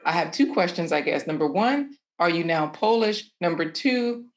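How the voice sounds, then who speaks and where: A woman panelist speaking directly and lively on a video call. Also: fairly low pitch, moderate pace.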